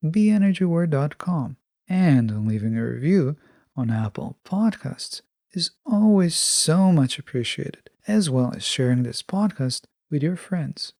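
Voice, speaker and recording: A man hosting a self-help podcast, delivering a scripted monologue in a measured, instructive manner. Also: fairly high pitch, gravelly, warm tone, no echo, clean studio sound. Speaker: podcast host.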